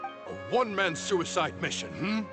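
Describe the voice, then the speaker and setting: A man's voice: fairly high pitch, very animated, normal speed. A man voicing a stern senior scholar in a video game, wordy, exasperated and sarcastic. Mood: exasperated, scolding. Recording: music under the voice.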